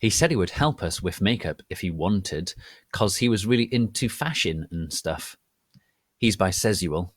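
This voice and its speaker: A man's voice: low voice, animated, moderate pace, dry recording, studio quality. A man voicing a melodramatic, bratty goth teenage girl who narrates her story in a theatrical, petulant, emphatic way. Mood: gushing, haughty. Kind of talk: dramatic reading of amateur fan fiction.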